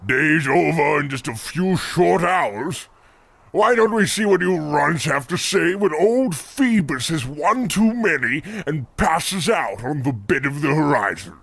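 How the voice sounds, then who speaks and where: A man's voice: fairly high pitch, sing-song, measured pace, gravelly, a bit bright, no echo, full character voice. A man playing a heartless, miserly old landlord who taunts theatrically in an old-time radio comedy parody. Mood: taunting.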